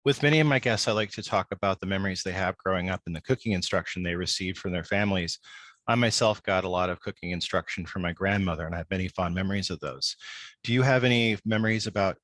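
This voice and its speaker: A man's voice: deep voice, a bit bright, no room sound. A man hosting a podcast, introducing and interviewing in a casual talk style. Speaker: podcast host.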